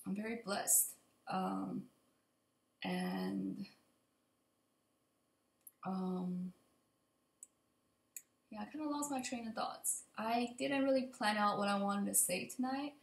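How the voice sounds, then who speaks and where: A young woman with a slightly raspy voice from allergies gives a casual, unscripted update to camera in a hesitant, rambling way. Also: mid-range voice, slow, long pauses. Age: young adult. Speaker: vlogger. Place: indoor open kitchen and living room. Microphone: computer mic at desk distance. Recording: echoey room, computer mic.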